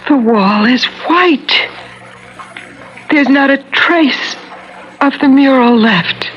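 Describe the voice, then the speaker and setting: An actress playing a frightened, desperate wife in a vintage radio drama, speaking breathlessly and melodramatically. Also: female voice, high-pitched, takes it slowly, a little hiss or hum. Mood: stunned. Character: frightened, desperate young wife in jungle peril. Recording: lo-fi radio drama, loud din behind.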